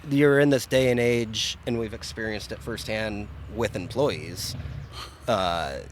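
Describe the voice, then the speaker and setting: A man's voice: lowish voice, unhurried, slight background noise. A middle-aged man from Arizona, a chef, talking casually on a podcast in a blunt, wry way and swearing freely.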